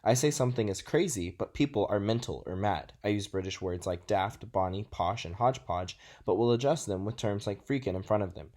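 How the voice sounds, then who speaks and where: A man's voice: fairly low pitch, a little fast, few pauses, darkish tone. A nineteen-year-old man from Texas with a Texas American accent, narrating a scripted presentation as a student. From Texas, United States.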